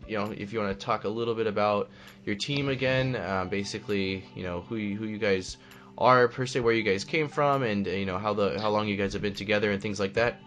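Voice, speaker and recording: A man's voice: fairly low pitch, steady tone, a little fast, fairly bright tone, faint music behind. A man hosting an online interview, asking meandering questions full of fillers. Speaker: host, interviewer.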